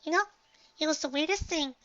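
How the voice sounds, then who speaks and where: Cartoon kid: woman as a small matter-of-fact girl, high and bright, lo-fi recording. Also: brisk. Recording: dull lo-fi recording.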